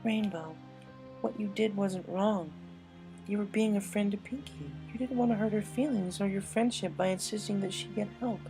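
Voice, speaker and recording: Lady doing a bookworm cartoon pony girl, higher voice, gentle n earnest, bit of music behind. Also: normal speed, close to an everyday voice, poor audio. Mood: soothing, firm. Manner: soothing, earnest, explanatory. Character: bookish young female cartoon pony, gentle, reasoning comforter.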